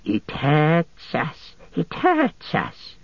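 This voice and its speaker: A man voicing a small, cringing, greedy creature with a raspy, hissing, whining voice that leaps in pitch. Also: wildly swooping pitch, no echo, lo-fi sound, full character voice. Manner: pleading, pitch leaps, drawn-out words. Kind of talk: audiobook character voice.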